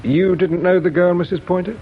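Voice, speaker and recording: An actor with an English accent playing a polite, patient, shrewd police inspector in a British radio drama, measured and courteous. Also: male voice, high voice, fairly even intonation. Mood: grave, insistent. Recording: dull radio drama recording with hiss.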